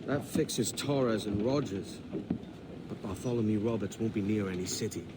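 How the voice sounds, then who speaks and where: Game pirate captain played by a man, low register, roguish and resolute, clear background noise. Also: moderate pace, lightly acted. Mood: businesslike. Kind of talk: video game cutscene acting. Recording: game ambience noise behind.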